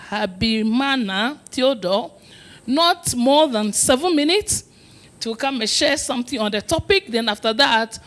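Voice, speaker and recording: A woman's voice: fairly high pitch, a bit bright. A woman moderating a forum session, formally introducing the lead presenter.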